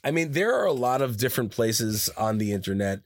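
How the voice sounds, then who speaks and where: A man chatting casually in an interview as a voice actor, telling stories in a rambling, animated way and often repeating himself. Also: fairly quick, a little hiss or hum. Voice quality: higher-pitched natural voice. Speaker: voice actor guest. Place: his own studio. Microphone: studio microphone, close.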